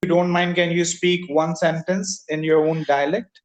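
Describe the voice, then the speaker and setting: A man's voice: on the high side, flat delivery, moderate pace. A man hosting a casual video-call conversation to practise English, asking short, careful questions. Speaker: host, English learner.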